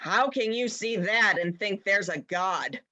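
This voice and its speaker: A woman's voice: medium pitch, measured pace, sharp tone, dull audio. A woman playing an irritable, sarcastic rabbit in a dramatized play reading, with a mocking way of talking.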